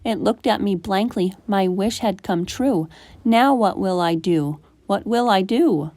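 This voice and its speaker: A woman reading a rhyming children's story aloud in an animated, emphatic way as a storytime host. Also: medium pitch, unhurried, no room sound. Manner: animated, emphatic rhymed delivery.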